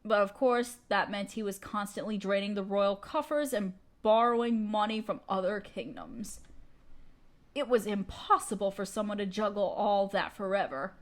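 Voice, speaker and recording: A woman's voice: mid-range voice, moderate pace, close to an everyday voice. A woman playing a haughty evil queen and sorceress in an audio roleplay, speaking in a theatrical, condescending, commanding way. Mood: wistful, bitter. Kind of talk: scripted audio roleplay monologue.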